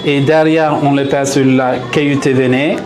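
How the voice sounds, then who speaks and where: A man from Istanbul with a Turkish accent, explaining his paper marbling craft to visitors in his small workshop, in halting French with many pauses. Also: medium pitch, little pitch movement, echoey room, over music. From Turkey (Istanbul).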